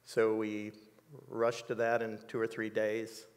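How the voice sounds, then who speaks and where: A man in his sixties telling a personal story in an interview, measured and reflective, with many fillers, on a dark studio set. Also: on the low side, completely flat delivery, long reverb tail. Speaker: interview guest.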